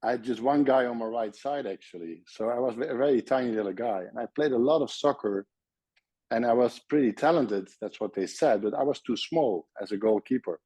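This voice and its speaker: A man's voice: medium pitch, brisk, mellow, breathy edge, no room sound. A Dutch-accented man from the Netherlands, a surgeon guest in a casual interview, speaking friendly English with frequent restarts.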